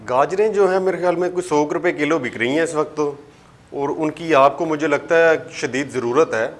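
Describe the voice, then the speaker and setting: A man's voice: a little high, moderate pace. A man arguing casually on a phone call in a blunt, exasperated, challenging way. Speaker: singer, prank call target.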